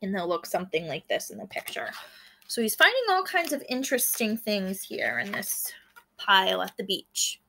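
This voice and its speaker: A woman's voice: mid-range voice, sing-song, moderate pace, creaky, pushed and bright, low-quality recording. A woman schoolteacher reading a children's book aloud and explaining it directly to her pupils. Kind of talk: children's read-aloud with teaching commentary.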